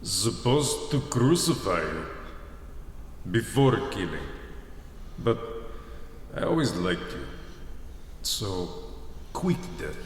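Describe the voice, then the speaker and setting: An actor playing a cold executioner with a reluctant soft spot, speaking in terse, halting phrases in a radio drama. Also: male voice, lowish voice, sing-song. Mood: grim, matter-of-fact. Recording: roomy long echo with faint noise.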